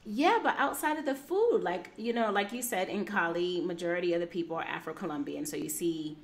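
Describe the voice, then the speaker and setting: A woman's voice: on the low side, animated, a little fast. Blunt, frank woman host. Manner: frank, blunt, casual slang. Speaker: podcast host. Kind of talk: casual interview chat.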